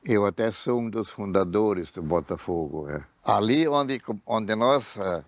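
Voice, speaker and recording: A man's voice: lowish voice, lively intonation, unhurried, no echo. An older man, remembering founding a football club in a rambling, good-humored way. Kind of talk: interview reminiscence.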